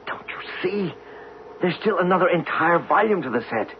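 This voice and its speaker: A man acting in a 1970s radio drama as a driven, learned geologist, speaking intensely and deliberately with theatrical pauses. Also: high-pitched, expressive. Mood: urgent, awed. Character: driven, learned geologist obsessed with solving a buried mystery. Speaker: actor in a radio play. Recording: dull, noisy 1970s radio recording.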